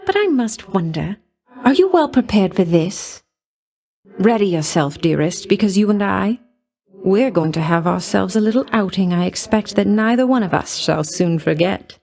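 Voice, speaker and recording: An actress playing a coquettish, affectionate goddess of love in a video game, speaking in a grand, coy manner full of doting endearments. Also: female voice, mid-range voice, darkish tone, natural voice. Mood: encouraging, flirtatious. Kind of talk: video game character voice lines.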